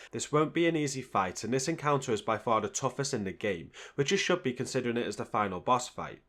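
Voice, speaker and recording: Guy doing scripted game guide voiceover, regular mid pitch. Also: fairly bright tone. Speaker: video game guide narrator. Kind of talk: scripted narration.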